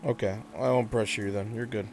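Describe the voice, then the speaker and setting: A man's voice: fairly low pitch, steady tone, brisk. A man running a live online roleplay session as game master, giving informal directions with the odd profane aside. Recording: music and rain behind. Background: music and rain sounds.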